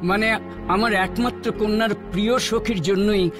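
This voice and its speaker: A man's voice: sits high, steady tone, normal speed, lightly acted. A man voicing an anxious, courteous king and father in a children's cartoon, speaking formally over music. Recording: music playing behind the voice.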